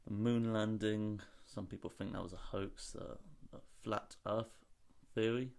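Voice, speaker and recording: A man's voice: lowish voice, fairly even intonation, a little slow, gravelly, darkish tone. A young man raised in Singapore and Hong Kong, co-hosting a casual podcast. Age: young adult. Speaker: podcast co-host.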